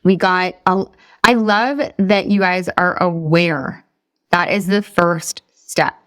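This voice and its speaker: Upbeat woman coach, low and dry. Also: fairly even intonation, slow, smooth, no echo. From Boston area. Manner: upbeat, talks straight to her group, asks questions. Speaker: weight loss coach. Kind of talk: live coaching session.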